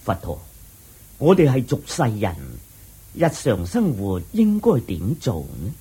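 A deferential, earnest official asks his questions slowly in a dark, husky voice. His pitch rises and falls widely throughout the radio-play scene. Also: huge pitch swings, exaggerated voice. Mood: inquisitive. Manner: deferential, inquisitive. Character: earnest, respectful male official of a small kingdom. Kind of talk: radio drama acting.

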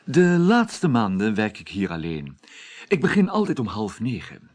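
An actor playing a formal, sanctimonious Calvinist party official in a Dutch radio drama. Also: male voice, lowish voice, wildly swooping pitch, measured pace, darkish tone, exaggerated voice. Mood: guarded, explaining. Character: pious, formal male Calvinist party staff member.

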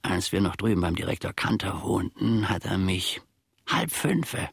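An actor playing a grumbling, self-important old manservant in a German radio play, husky and gravelly, telling his grievances in halting, mock-formal phrases. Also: low voice, no echo, clean studio sound, full character voice. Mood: wry, indignant storytelling.

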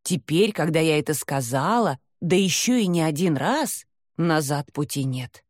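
A woman's voice: deep voice, animated, measured pace, a little mellow, dry recording, clean studio sound. A woman narrating a novel in the first person, reading expressively with a dramatic touch. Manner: dramatic, acts out dialogue. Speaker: audiobook narrator.

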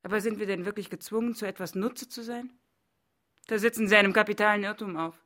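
A woman's voice: medium pitch, fast talker, a bit bright, close to an everyday voice. An actress playing a defiant, outraged woman who challenges others sardonically in a radio drama. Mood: sardonic. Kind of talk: German radio drama acting.

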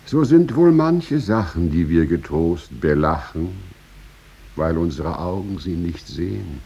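A man reciting poetry in a solemn, dramatic style, measured, with drawn-out pauses and swelling emphasis. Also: deep voice, lively intonation, creaky, mellow, slightly rough, lo-fi sound.

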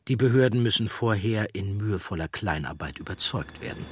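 A man reading scripted documentary narration as a measured storyteller. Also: on the low side, animated, slightly rough, no room sound.

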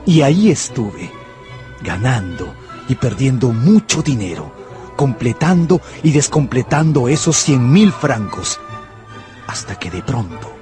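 A fervent gambler in a radio drama, recounting his obsessions like literature. His mid-pitched voice swings expressively between storytelling and dialogue, with clear music playing behind it. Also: big pitch swings, unhurried, a little mellow. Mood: excited, reflective. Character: passionate male gambler recounting his obsessive love and luck.

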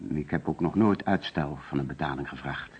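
A male insurance agent under suspicion in a radio drama. His voice is very deep and rough-edged, and he explains himself in a hesitant, defensive manner. The old recording sounds muffled. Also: moderate pace, a little mellow, exaggerated voice. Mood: indignant. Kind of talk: radio play acting.

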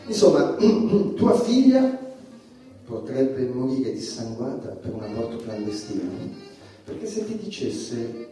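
A man playing an irreverent, provocative stand-up satirist, delivering a sardonic stage monologue with emphatic pauses. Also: mid-range voice, big pitch swings, warm tone. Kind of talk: theatrical stand-up comedy monologue. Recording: echoey stage, distant mic, music behind.

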